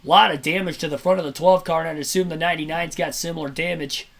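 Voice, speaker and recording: High-voiced hoarse man calling a race. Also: quick pace. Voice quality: slightly nasal and raspy. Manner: energetic, chatty, self-correcting. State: hoarse from sinus congestion. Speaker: live race commentator. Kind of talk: amateur play-by-play commentary over a race broadcast.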